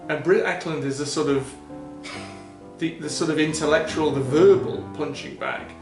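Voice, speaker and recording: A man's voice: on the high side, normal speed, roomy sound, faint music behind. A man co-hosting a casual film podcast, laughing often and swearing casually as he talks. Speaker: podcast co-host.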